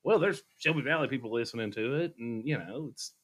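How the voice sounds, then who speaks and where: A man's voice: mid-range voice, fairly quick, slightly rough. A man, co-hosting a podcast in casual chat, telling stories with lots of laughs. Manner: animated storyteller, laughs easily. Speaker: podcast co-host. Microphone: studio microphone.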